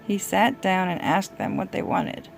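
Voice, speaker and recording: A woman's voice: mid-range voice, unhurried, vocal fry, soft background music, poor audio. A woman reading a children's storybook aloud to a small child, as a parent would.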